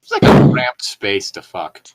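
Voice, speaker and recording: Animated, sweary man in casual chat. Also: fairly low pitch, unhurried. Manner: sweary, incredulous. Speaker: host. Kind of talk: casual commentary while reading fanfiction aloud.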